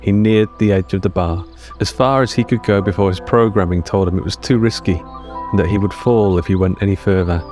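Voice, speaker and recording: A man narrating scripted audio fiction in a measured, deliberate storytelling manner with short dramatic phrases. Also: fairly low pitch, a little mellow. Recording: music under the narration.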